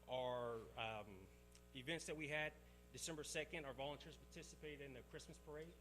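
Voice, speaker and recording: A man reporting to a town council for the fire department, speaking haltingly with many fillers and restarts. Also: on the high side, fairly even intonation, a bit bright, a little hiss or hum.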